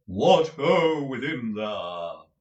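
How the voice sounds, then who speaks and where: A man voicing a pompous male tragedian actor who declaims in a deep, theatrical manner. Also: big pitch swings, extremely slow, lo-fi sound. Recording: roomy echo.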